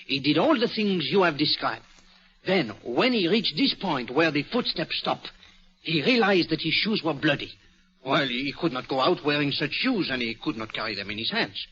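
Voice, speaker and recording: Bright-voiced fastidious French-accented gentleman detective. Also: fairly high pitch, lively intonation. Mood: assured, explanatory. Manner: precise, explanatory, with formal foreign phrasing. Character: fastidious, self-assured foreign gentleman detective. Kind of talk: old-time radio drama acting. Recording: muffled old radio recording.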